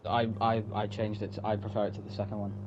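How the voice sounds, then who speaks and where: A man's voice: on the low side, completely flat delivery, fast, a little mellow, muffled recording, faint music behind. A man chatting casually as a writer about his own story, emphatic and rambling, with some swearing. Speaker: writer of the story being read. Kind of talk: casual chat.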